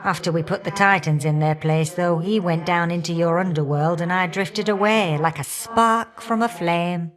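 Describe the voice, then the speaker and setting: A woman's voice: on the low side, moderate pace, hardly any pauses, natural voice. Woman doing a fiery older goddess for a game, cheeky and folksy, teases you fondly. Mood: wistful, teasing. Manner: folksy, teasing, affectionate endearments and colloquial turns of phrase. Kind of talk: video game character voice acting.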